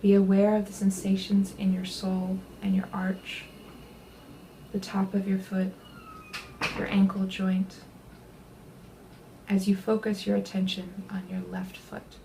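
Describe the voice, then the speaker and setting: A woman leading a guided body scan meditation, speaking gently and unhurriedly in a soothing way, with soft, breathy phrase endings. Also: medium pitch, completely flat delivery, unhurried, long pauses, a little hiss or hum, poor audio.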